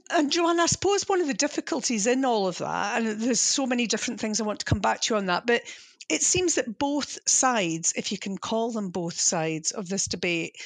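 Higher-voiced woman interviewer, dry sound. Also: gravelly, dry recording. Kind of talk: conversational interview.

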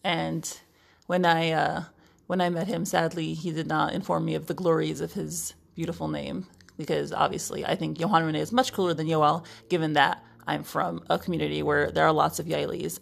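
A woman's voice: low-pitched, steady tone, fairly quick, gravelly. A woman, chatting casually in a playful, wry, articulate way as a podcast co-host. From Brooklyn, New York, United States. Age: 30s. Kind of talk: casual chat.

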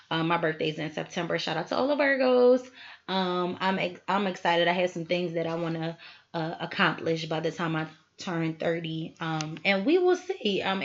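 A woman's voice: fairly low pitch, highs cut off. A woman, hosting a casual solo podcast, chatty and full of asides to her listeners. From Philadelphia, USA. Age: 20s. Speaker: podcast host.

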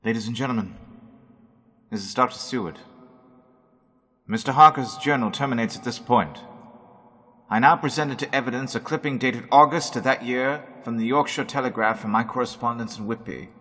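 An actor playing a composed doctor who formally addresses listeners and presents evidence in a radio drama reenactment. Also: male voice, medium pitch, a bit bright, lightly acted. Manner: formal, measured announcing. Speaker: narrator. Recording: long hall reverb.

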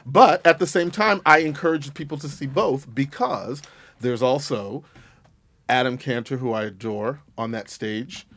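A man's voice: mid-range voice, measured pace, fairly bright tone. A man hosting a casual studio chat, flamboyant and exclamatory, with theatrical asides. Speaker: host.

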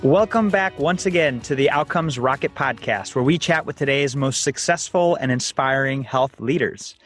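A man's voice: medium pitch, moderate pace, fairly bright tone, faint music behind. A man hosting a health podcast, reading a promo and introducing a guest in an upbeat, promotional way. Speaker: podcast host.

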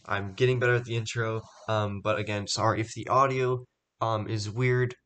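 A man's voice: on the low side, steady tone, normal speed, a bit bright, slight background noise. A young man hosting his own fitness podcast, talking casually with lots of ums and restarts, a bit stuffed up, on a poor microphone. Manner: rambling, many ums and restarts. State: stuffed up nose. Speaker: podcast host. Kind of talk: casual solo podcast talk.

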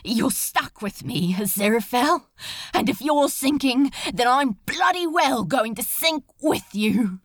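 A passionate male demon from a storybook romance, acted out by a woman. The voice is high and animated, recorded dry. He is stubborn and rough-tongued, declaring himself with desperate force. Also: measured pace, dry recording. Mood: fierce devotion.

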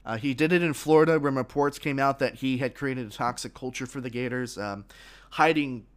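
A man's voice: medium pitch, a little fast. Guy doing a solo sports podcast, bit congested, opinionated, lots of um and restarts. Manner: opinionated, many restarts and fillers. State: slightly congested, frog in throat.

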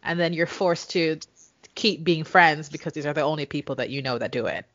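A woman's voice: low-pitched, a little fast, fairly bright tone, no echo, dull audio. A woman, co-hosting a casual, candid chat and laughing often. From Jamaica. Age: 30s. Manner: candid, laughing, frequent swearing. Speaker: podcast co-host.

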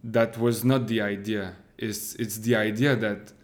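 Thoughtful man, halting. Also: on the low side, flat delivery. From Quebec. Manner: thoughtful, halting with many restarts. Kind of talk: casual conversation.

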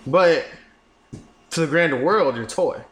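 A man's voice: a little high, unhurried, fairly bright tone, echoey room. A young man co-hosting a podcast, casual and profane, joking and laughing often. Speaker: podcast co-host. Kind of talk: casual chat.

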